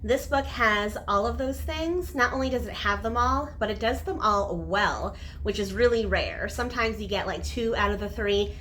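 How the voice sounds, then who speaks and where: A woman's voice: mid-range voice, moderate pace, few pauses, fairly bright tone, slight background noise. A woman giving a casual, enthusiastic review to camera as a vlogger, stressing and repeating words for emphasis.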